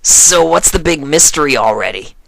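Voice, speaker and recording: A tomboyish young flying mare from a cartoon story, put on by a narrator. The voice is brash and punchy, impatient and blunt, recorded dry with a raised pitch. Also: lively intonation, normal speed, creaky, fairly bright tone, dry recording, rough recording.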